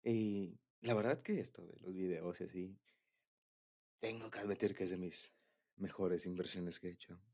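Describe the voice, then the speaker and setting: Husky low-voiced young Mexican man. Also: fairly even intonation, moderate pace, darkish tone. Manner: bashful, hesitant, giggly. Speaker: ASMR roleplay creator. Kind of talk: intimate boyfriend ASMR roleplay, casual confiding talk.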